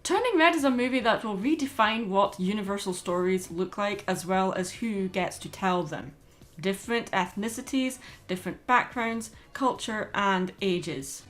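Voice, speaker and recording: A woman narrating a scripted film review as host, with an expressive, opinionated delivery. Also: mid-range voice, moderate pace. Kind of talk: scripted video essay narration. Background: background music under voice-over.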